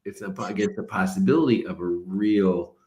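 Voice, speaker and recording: An older man, a spiritual teacher, talking calmly and reflectively, explaining ideas with everyday examples. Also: deep voice, moderate pace. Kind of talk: reflective talk. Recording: roomy echo.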